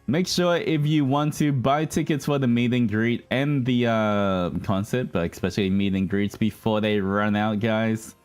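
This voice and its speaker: Low-voiced man chatting on a livestream. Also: steady tone, a little slow, hardly any pauses, darkish tone, clean tone. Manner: rambling, addresses audience as guys. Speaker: livestream host, virtual streamer. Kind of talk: casual livestream chat with viewers. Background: background music.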